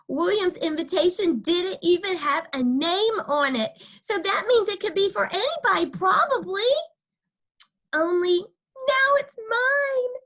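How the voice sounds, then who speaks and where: A woman's voice: very high voice, fairly bright tone, voice pushed up, full character voice. A woman voicing a cheeky, stubborn kindergarten girl who tells her own story with childish, emphatic, dramatic delivery. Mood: defiant, pleased. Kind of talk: first-person children's storybook reading.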